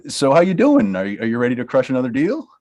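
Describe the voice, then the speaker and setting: A man's voice: medium pitch, huge pitch swings, fast talker, a little mellow, highs cut off. A man reading the part of a blunt, money-minded talent manager in a comedy table read. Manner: brusque, dismissive, laughs through lines.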